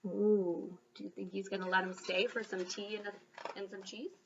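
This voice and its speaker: A woman reading a children's story aloud as a school reader, expressive, with dramatic emphasis and pauses. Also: medium pitch, far from the mic, dull audio, noisy background.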